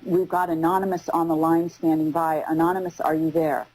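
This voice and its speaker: A woman hosting a live radio interview, speaking in halting phrases with repeated words. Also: deep voice, little pitch movement, over music. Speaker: radio show host.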